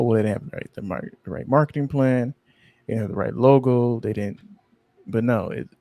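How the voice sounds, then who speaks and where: A man with a lowish, gravelly voice co-hosts a podcast in relaxed conversation. He rambles, pauses on filler sounds and drifts off his point. The sound is close and dry. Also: no room sound. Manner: rambling, loses his train of thought, many ums; low-key, sleepy-sounding. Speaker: podcast co-host. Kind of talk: casual chat.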